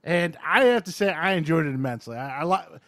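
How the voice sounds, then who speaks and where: An American man with a high voice that swings up and down as he talks. He is an excitable podcast co-host, casual and profane, retelling sports action with open enthusiasm. The sound is close and dry. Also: quick pace, no room sound.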